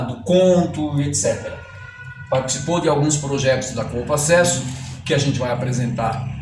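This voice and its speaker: A man with a Brazilian accent presenting a literary interview show in a formal, deliberate manner. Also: medium pitch. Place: indoors at a publisher's office. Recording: roomy, distant mic, faint background noise.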